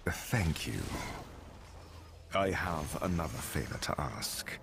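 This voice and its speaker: A man's voice: a bass voice, expressive, slow pace, gravelly, noisy background, full character voice. A man playing an earnest, compassionate adventurer hero in a video game, speaking soberly. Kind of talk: video game character acting. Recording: music behind the voice.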